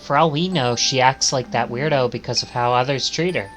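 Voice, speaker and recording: A man's voice: medium pitch, a little fast, over music. A man streaming a visual novel, reading it aloud in English with dramatic narration.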